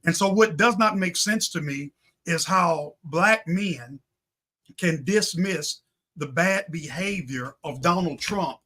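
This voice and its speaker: Male talk show guest, high and bright voice, impassioned, rhetorical political delivery with repetition for effect. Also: slow.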